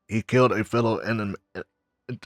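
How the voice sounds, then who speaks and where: A man doing a let's play, reading game dialogue aloud somewhat haltingly. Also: on the low side, dry recording, studio quality. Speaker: gamer reading the player character's lines.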